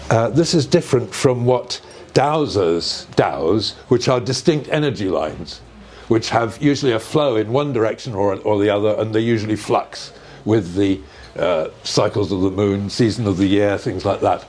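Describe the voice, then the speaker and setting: An older man giving an informal, measured explanation in conversation, often checking with rhetorical questions. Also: lowish voice, darkish tone. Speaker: speaker on earth energies and ancient sites. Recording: noticeable background noise.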